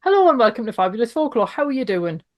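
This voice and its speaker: A woman's voice: fairly high pitch, big pitch swings, fast, over music. Chatty woman hosting a folklore podcast. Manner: casual, chatty questioning. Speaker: podcast host. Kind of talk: podcast intro and interview.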